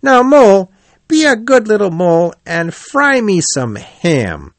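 A man's voice: sits high, sing-song, measured pace, no echo, pitched above the performer's own voice, full character voice. A man voicing a bold, chatty otter in a storybook, swaggering and full of exclamation. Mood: boastful, cheerful. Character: bold, boisterous male otter, confident and chatty.

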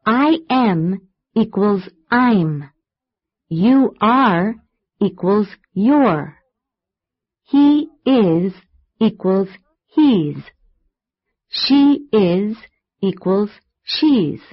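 A friendly woman in a language-course dialogue, speaking slowly with a lively, rising and falling delivery. The recording has a slightly dull, lo-fi tape quality. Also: medium pitch. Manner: clear, careful model enunciation. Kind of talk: scripted textbook dialogue and drills. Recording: muffled lo-fi tape.

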